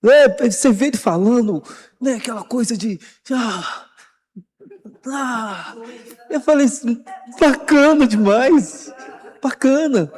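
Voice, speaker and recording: A man's voice: high voice, a little mellow. A man with a Brazilian Portuguese accent, a pastor leading a Bible study, talking informally and animatedly, repeating words as he encourages the church. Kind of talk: sermon, informal. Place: church hall. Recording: long church reverb.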